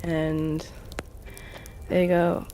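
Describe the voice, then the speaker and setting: A woman improvising narration of her character's actions in a tabletop role-play show, casual and halting, with music behind her. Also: sits low, little pitch movement, warm tone, a little hiss or hum, low-quality recording.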